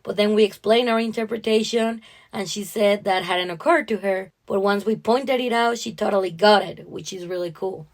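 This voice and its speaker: A woman's voice: medium pitch, steady tone, moderate pace. A woman co-hosting a writing podcast, discussing a story conversationally in an appreciative, explanatory way.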